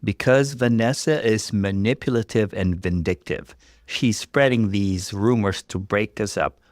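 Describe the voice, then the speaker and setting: A man's voice: on the low side, unhurried, dry recording, clean studio sound, natural voice. A man voicing an earnest accused fiancé in a story, indignant and pleading, with insistent, emphatic protests.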